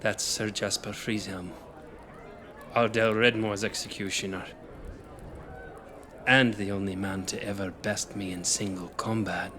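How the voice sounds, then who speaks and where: A man playing a gruff, stern, ailing old general, curt and grumbling, in a fantasy audio drama. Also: on the low side, fairly even intonation, unhurried, long pauses, bright, forceful tone. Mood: grave.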